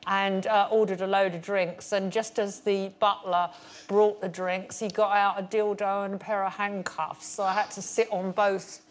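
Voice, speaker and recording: A woman's voice: medium pitch, little pitch movement, moderate pace. An older woman with a London accent, a panellist telling a story bluntly and matter-of-factly. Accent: London English. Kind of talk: comedy panel show banter.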